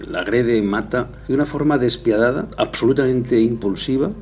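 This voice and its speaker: A man's voice: medium pitch, moderate pace, a little mellow, slight background noise. A man giving emphatic expert commentary as a forensic psychiatry doctor, piling up words for weight. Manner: emphatic, piles up adjectives and repetitions.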